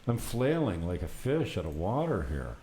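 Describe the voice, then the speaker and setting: A man's voice: on the low side, very animated, moderate pace, dark tone. A man hosting a casual, unscripted podcast from an apartment, rambling through anecdotes in an unfiltered way with some mild swearing. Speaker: talk show host. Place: apartment set up for recording. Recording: noticeable background noise.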